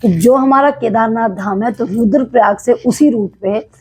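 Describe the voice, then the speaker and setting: A woman from Uttarakhand with a slightly hoarse throat, telling a devotional temple legend to camera in a casual, hesitant way. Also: fairly high pitch, normal speed, a little mellow. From Uttarakhand, India. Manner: devout, explanatory, with frequent hesitations.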